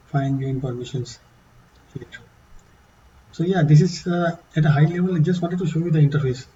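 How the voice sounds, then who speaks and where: Man with a dark, muffled voice. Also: mid-range voice, slight background noise. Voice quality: non-native English accent. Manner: halting, many fillers and restarts. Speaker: tutorial presenter. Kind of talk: casual screen-share walkthrough.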